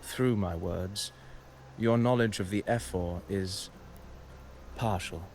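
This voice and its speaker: An actor playing a humble, cryptic poet in a video game, speaking in measured, ornate, theatrical phrases. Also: male voice, deep voice, a little mellow, a little hiss or hum. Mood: solemn, gentle. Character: male poetic bard narrator, humble, cryptic, courteous. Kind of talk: video game character dialogue.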